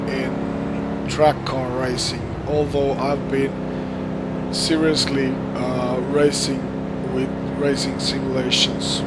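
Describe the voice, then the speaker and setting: A hoarse man, recovering from the flu, giving halting gameplay commentary with many pauses and restarts. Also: medium pitch. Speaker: sim racing gamer. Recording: loud steady background noise.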